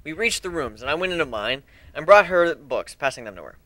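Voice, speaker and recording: A man narrating a fan fiction story as an amateur dramatic reading, with expressive delivery. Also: on the high side, brisk, dry recording, poor audio.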